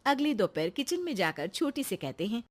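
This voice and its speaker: Female storyteller for scripted domestic moral tales, voice on the high side. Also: sing-song, moderate pace. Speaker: story narrator.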